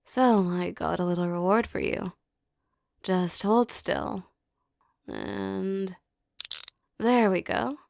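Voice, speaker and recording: A woman performing a scripted hypnosis roleplay, speaking softly and commandingly with a teasing edge. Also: mid-range voice, very animated, gravelly, dry recording, studio quality. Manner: slow, soft, commanding and teasing.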